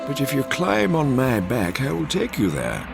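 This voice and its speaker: A man's voice: mid-range voice, huge pitch swings, normal speed, gravelly, loud music behind the voice, pitched above the performer's own voice, full character voice. A man voicing a large, helpful pigeon in a storybook, asking things in a hesitant, inquisitive way. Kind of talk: storybook character voice.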